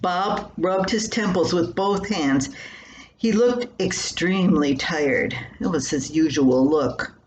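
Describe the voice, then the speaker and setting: Slow-reading older woman, homespun storyteller. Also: fairly low pitch, darkish tone. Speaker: writer reading own newspaper column. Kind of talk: homespun scripted storytelling, read aloud. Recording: roomy echo with faint background noise.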